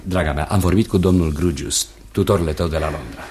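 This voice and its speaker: An actor playing a kindly, nervous young fiancé in a Romanian radio play, earnest and hesitant, often repeating himself. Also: male voice, sits low, darkish tone, close to an everyday voice. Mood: earnest, affectionate. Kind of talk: Romanian radio theatre acting.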